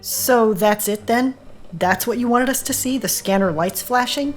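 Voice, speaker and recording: A woman playing a sharp-tongued, distrustful mother in an audio drama, with a pointed, challenging manner. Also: fairly high pitch, moderate pace, soft background music, lightly acted.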